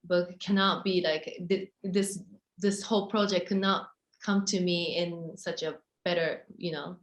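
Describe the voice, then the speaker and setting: Halting woman. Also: fairly low pitch, fairly even intonation. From Japan. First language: Japanese. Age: 30s. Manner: soft-spoken, halting, frequent fillers and restarts. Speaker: interviewee, model and author. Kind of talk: casual interview answers. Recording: roomy echo.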